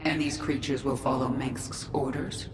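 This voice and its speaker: A woman's voice: rumbling low, level tone, unhurried, background noise. A woman playing a vengeful, commanding queen of an alien swarm in a video game, imperious and decisive. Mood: probing. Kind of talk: video game cinematic acting. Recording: echo effect, loud music behind.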